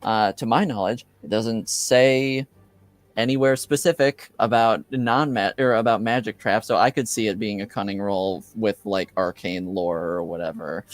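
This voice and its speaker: A man running a tabletop roleplaying game as game master, speaking in a hesitant, halting way. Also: on the low side, steady tone, no echo.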